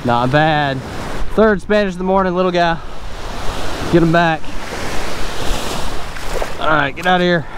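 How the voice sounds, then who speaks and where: A man's voice: a little high, unhurried, long pauses. A young adult man casually narrating his own beach fishing, talking to himself between casts, recorded outdoors on a wearable camera. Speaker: fishing vlogger. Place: open beach at the surf line, outdoors. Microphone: wearable action camera. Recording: outdoor wind and surf noise. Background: surf and waves.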